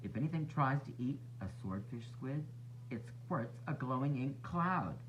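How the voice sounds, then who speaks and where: A man narrating a children's storybook as a teacher would, expressive and instructive for young listeners. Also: mid-range voice, moderate pace, dull audio, slight background noise. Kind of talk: children's read-aloud narration.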